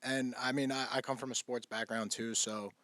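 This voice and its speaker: A man in his twenties from New York, a real estate agent talking as a podcast guest, soft-spoken and hesitant with many fillers. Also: mid-range voice, level tone, moderate pace, no echo. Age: 20s. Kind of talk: casual interview chat.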